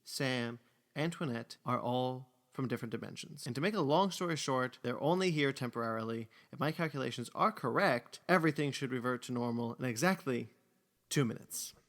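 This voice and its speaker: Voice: medium pitch, a little fast, natural voice. An actor playing a matter-of-fact science-fiction explainer in a comedic audio drama, precise and explanatory.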